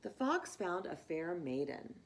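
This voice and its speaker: A woman storyteller reading a children's story aloud in an expressive, deliberate way, with teacherly asides. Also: fairly low pitch, poor audio.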